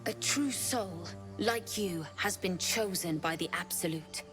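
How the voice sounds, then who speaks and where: A woman performs a solemn cult priestess for a fantasy game. Her voice sits fairly low and she proclaims slowly and reverently, with zeal. Music plays clearly behind her. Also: close to an everyday voice. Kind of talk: dramatic video game acting.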